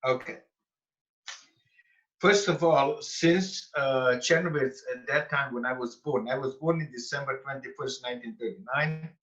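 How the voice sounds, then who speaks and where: A man of eighty, from Bukovina, telling his life story slowly and carefully as a guest on a video call. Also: medium pitch, fairly bright tone. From Bukovina (Romania/Ukraine). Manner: slow, careful storytelling with pauses. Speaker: Holocaust survivor guest. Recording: dull lo-fi call audio.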